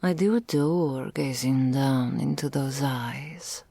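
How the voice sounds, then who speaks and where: A woman's voice: extremely low pitch, slow, vocal fry, warm tone, husky, no room sound, heavily acted. A woman voicing a doting, regal female dragon in human form, speaking intimately to the listener in ornate, archaic phrases as an ASMR roleplay. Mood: proud, amused.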